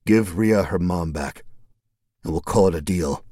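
A man's voice: sits low, unhurried, mellow, no room sound. A man voicing a weary immortal who talks in a clipped, commanding way. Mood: tense, commanding.

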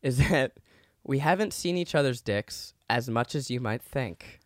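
A young man chatting casually as a podcast guest, joking and animated, breaking into laughter. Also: mid-range voice, a little slow, no echo, studio quality. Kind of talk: casual chat.

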